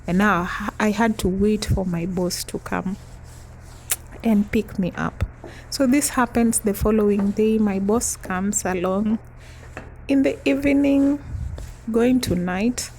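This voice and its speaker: A young woman from East Africa tells a personal story to her vlog audience in an unhurried, easygoing way. Her voice carries a gravelly edge, and soft laughs keep slipping between her sentences. A faint hum of noise sits under the recording. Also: mid-range voice. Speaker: vlogger.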